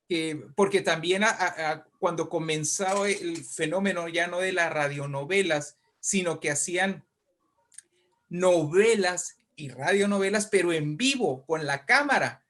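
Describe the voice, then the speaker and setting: A man's voice: on the high side, a bit bright. A man with a Latin American Spanish accent explaining things conversationally, often repeating words as he searches for the next. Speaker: writer discussing radio drama history.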